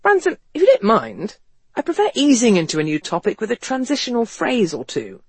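A man voicing a wry, emphatic upper-class English gentleman in an audiobook of a period novel. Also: very high voice, wildly swooping pitch, a little fast, slightly rough, dry recording, pitched above the performer's own voice, heavily acted. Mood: wry, earnest. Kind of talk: audiobook character dialogue.

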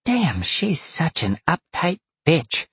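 Male narrator as irreverent vixen, lifted pitch, lively swings, husky edge, flirty teasing audiobook character. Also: normal speed, dry recording, heavily acted. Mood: grumbling. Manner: teasing, grumbling.

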